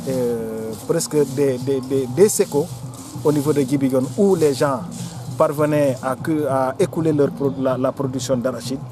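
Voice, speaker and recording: Halting Senegalese man with music behind. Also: medium pitch. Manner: halting, repeats words while searching for phrasing. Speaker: local official or forum organiser. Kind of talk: interview answer recounting local history.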